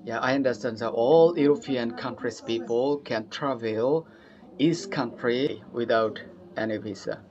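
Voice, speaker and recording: A young man from Bangladesh with a Bangladeshi accent, chatting eagerly in English practice, often laughing and pausing with fillers. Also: medium pitch, crowd noise. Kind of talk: casual conversation practice. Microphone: video call. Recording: dull lo-fi call audio.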